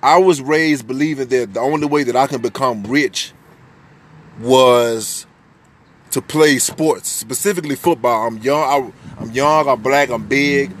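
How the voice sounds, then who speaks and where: A young man in his twenties from the United States with a deep voice, talking candidly and loosely in a personal podcast monologue, swearing freely and restarting his sentences. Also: a little slow, a bit bright, slight background noise. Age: 20s. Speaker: podcast host.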